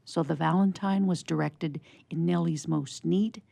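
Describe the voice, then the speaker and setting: A woman's voice: on the low side, steady tone, measured pace, gravelly, mellow, dry recording. A woman narrating a scripted story for radio theatre in a storybook style.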